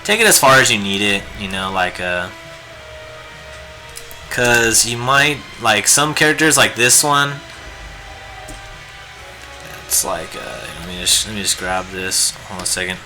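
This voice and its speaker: A hoarse, raspy man chatting casually and rambling with lots of fillers while he draws on a live stream. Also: lowish voice, animated, measured pace, long pauses, sharp tone, poor audio. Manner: laid-back, rambling, many fillers. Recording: music playing behind the voice. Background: guitar music.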